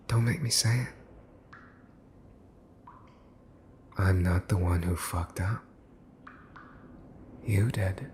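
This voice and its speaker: A man playing a smug mafia boss's son in an ASMR roleplay, teasing and condescending as he makes cool, possessive threats with pauses for effect. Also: on the low side, a little mellow, a little hiss or hum. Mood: condescending, menacing. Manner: teasing, smooth-talking, condescending, pausing for effect. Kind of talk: ASMR boyfriend roleplay, scripted monologue to listener.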